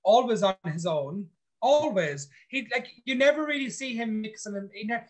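A man talks in a casual interview, outspoken and blunt. He speaks with animated energy and swears freely. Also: sits high, normal speed. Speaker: reality show contestant.

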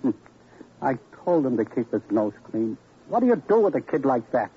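An actor playing a folksy boxing manager in an old radio crime drama, rambling and repeating himself in streetwise talk. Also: male voice, medium pitch, sing-song, normal speed, a little hiss or hum, lightly acted. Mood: regretful, rambling. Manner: repetitive, streetwise, chatty. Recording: muffled vintage radio recording.

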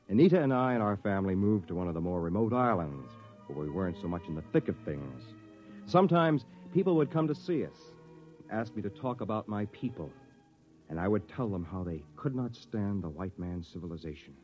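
An American man playing a cunning, cynical misfit who narrates and bluffs in a 1950s radio drama. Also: low-pitched. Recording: muffled old radio recording, faint music behind.

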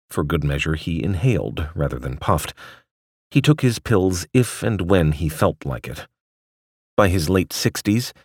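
A man's voice: rumbling low, no echo, clean studio sound. A man reading a scripted essay aloud as the narrator of a magazine article.

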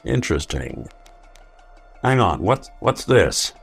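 An actor playing a world-weary, hard-boiled male detective in an old-time radio drama, speaking tersely and deliberately. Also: deep voice, very animated, creaky, husky, soft background music, full character voice. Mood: terse, wry.

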